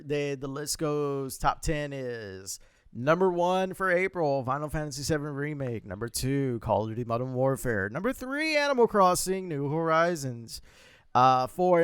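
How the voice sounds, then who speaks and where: A man's voice: medium pitch, a bit bright, clear, resonant tone, dry recording, studio quality. A young man co-hosting a podcast, chatting loosely and reading out a list with laughs and swearing. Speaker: podcast co-host. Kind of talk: casual chat.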